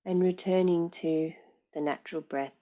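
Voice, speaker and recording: A woman teaching yoga nidra, guiding relaxation in a calm, soothing, measured way with long pauses. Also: lowish voice, highs cut off. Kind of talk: guided relaxation, meditation instruction.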